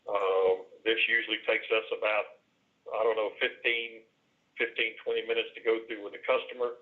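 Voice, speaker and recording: Male presenter, low voice, halting conversational delivery, heard through a narrow phone line. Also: level tone. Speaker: business presenter, managed IT services provider. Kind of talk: sales presentation on a conference call. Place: conference call. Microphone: telephone. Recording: tinny band-limited telephone line.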